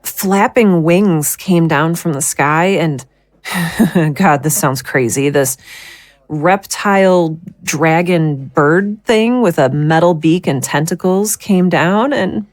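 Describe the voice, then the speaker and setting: Slow, low-voiced distraught young mother played by an actress. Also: dry recording. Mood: shaken, haunted. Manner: halting, emotional confession with sighs. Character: distraught, guilt-ridden young small-town mother, worn down and tearful. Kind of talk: audio drama acting.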